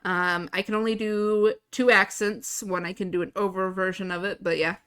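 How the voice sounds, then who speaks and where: Young woman streamer, kinda monotone, swears a lot, pretty bright tone. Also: mid-range voice, moderate pace, clean tone. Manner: self-described monotone, swears freely, casual. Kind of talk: casual live-stream chat.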